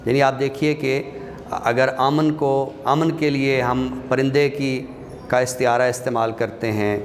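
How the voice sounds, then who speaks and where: A man's voice: mid-range voice, level tone. A man giving a classroom lecture as a literature teacher, explaining things step by step and addressing his listeners directly. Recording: reverberant hall, clear background noise.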